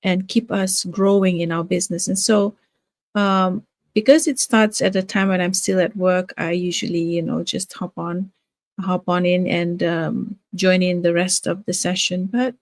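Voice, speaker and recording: Older Fijian-born woman, halting live chat. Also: on the low side, steady tone, no echo. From Fiji. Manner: warm, halting, many fillers and restarts. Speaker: live-stream host, nurse and business owner. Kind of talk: casual live-stream talk.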